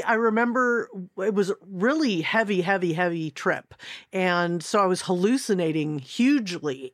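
A woman's voice: lowish voice, dry recording. Female podcast panelist, casual storyteller, dry studio-close sound.

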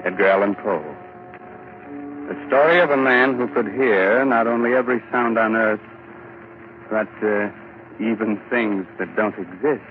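Sinister old-time radio horror host. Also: male voice, on the low side, unhurried, pauses often, faint music behind. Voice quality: sinister, theatrical. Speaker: show host and narrator. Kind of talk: 1940s radio horror narration. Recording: tinny 1940s radio broadcast, hiss.

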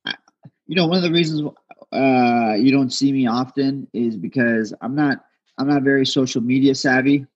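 A man whose first language is Aramaic, speaking as a fighter guest in a casual interview, humble and halting with many restarts. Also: medium pitch, dull audio.

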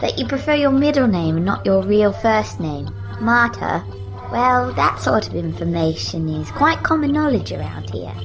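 A woman's voice: medium pitch, big pitch swings, moderate pace, voice pushed up, poor audio. A woman voicing an uncanny little girl with an upper-class English accent, prim, pompous and teasing. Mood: sweetly eerie. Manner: pompous, teasing, sing-song. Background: music.

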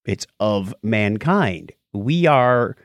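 A man co-hosting a podcast, chatting casually and recounting things in a rambling, animated way. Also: lowish voice, extremely slow, no room sound, clean studio sound. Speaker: podcast co-host. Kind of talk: casual podcast chat, recounting a nature documentary.

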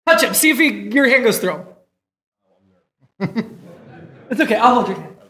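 An excitable, playful performer bantering in a live comedy show, recorded in an echoey room. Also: mid-range voice, moderate pace.

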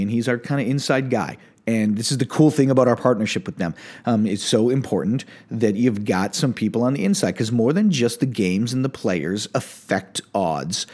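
A man's voice: mid-range voice, darkish tone. A man hosting a casual sports betting podcast, loose and upbeat, with lots of fillers and restarts. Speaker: podcast host.

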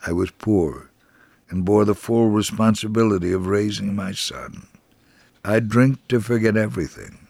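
A man's voice: fairly low pitch, normal speed, vocal fry, a little mellow, no echo. A man voicing a poor, despairing alcoholic father in a narrated devotional story, burdened and confessional. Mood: bitter, sorrowful.

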